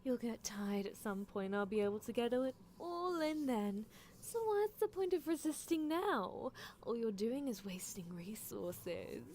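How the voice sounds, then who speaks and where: A woman's voice: on the high side, animated, unhurried, darkish tone, a little hiss or hum. A woman voicing an obsessive mad scientist who coaxes and condescends to a captive love interest in an ASMR roleplay, with menacing affection. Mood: coaxing, menacing.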